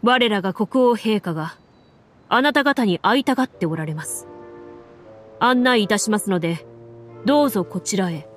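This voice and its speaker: A woman's voice: medium pitch, expressive, moderate pace, long pauses, lightly acted. A woman voicing a polite, deferential royal guard in a story game, formal and earnest. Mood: respectful. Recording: soft music under the voice.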